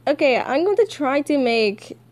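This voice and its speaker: A woman casually reading and commenting as an amateur comic dubber, halting and giggly. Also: high-pitched, big pitch swings, a little mellow.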